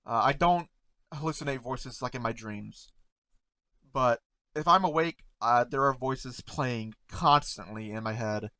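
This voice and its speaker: A man in casual talk to camera, halting and stuttering, repeating words and often trailing off softly, close to the mic at home. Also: mid-range voice, no room sound. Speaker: content creator reacting to memes.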